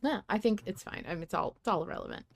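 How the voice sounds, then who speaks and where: A woman hosting a podcast in casual, animated chat, swearing freely. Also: medium pitch, rapid-fire, vocal fry. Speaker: podcast host.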